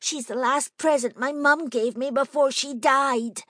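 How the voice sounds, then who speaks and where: A woman's voice: sits high, fairly even intonation, measured pace, pushed and bright, dry recording, clean studio sound, voice pushed up, full character voice. A woman voicing a nervous but stubborn nine-year-old girl who talks in a bossy, matter-of-fact way. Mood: insistent.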